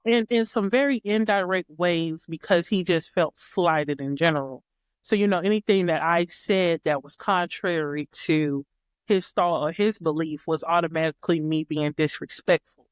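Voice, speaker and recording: Smooth-voiced woman telling a story. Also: lowish voice, moderate pace, no echo, clean studio sound. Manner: reflective, repeats and rephrases her words. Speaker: podcast guest. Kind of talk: casual storytelling chat.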